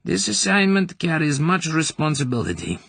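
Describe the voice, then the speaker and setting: A man voicing a cold, domineering older female secret police colonel who talks in a smooth, commanding, falsely sweet way. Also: on the high side, very animated, normal speed, fairly bright tone, no room sound, pitched above the performer's own voice, full character voice.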